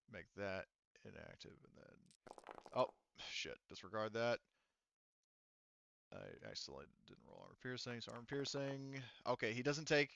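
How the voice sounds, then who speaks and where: A man acting as game master for a tabletop roleplaying game, narrating casually and haltingly as he thinks through the rules aloud. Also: medium pitch, fairly bright tone, clean tone, no echo, lo-fi sound.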